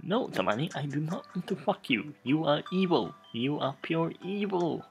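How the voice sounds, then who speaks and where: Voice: mid-range voice, animated, moderate pace, creaky, faint music behind. A let's play host commenting on a game and reading its text aloud in a theatrical, stumbling way.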